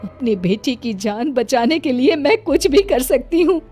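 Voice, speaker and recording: A woman's voice: sits high, lively intonation, measured pace, mellow, soft background music. A woman voicing a worried middle-aged mother in a cartoon, who speaks in a pleading, anxious way. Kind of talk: cartoon voice acting.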